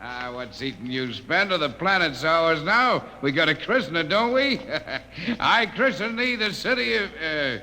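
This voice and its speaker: A man's voice: a little high, expressive, measured pace, sharp tone, in a large hall, slight background noise, heavily acted. An actor playing a brash, rowdy space crewman, boisterous and jeering with a drawl, in a radio drama. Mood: boastful, taunting.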